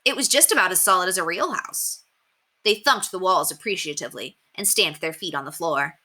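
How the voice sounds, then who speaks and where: A woman reading a children's novel aloud in an animated, emphatic storytelling manner. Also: on the low side, brisk. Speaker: story reader.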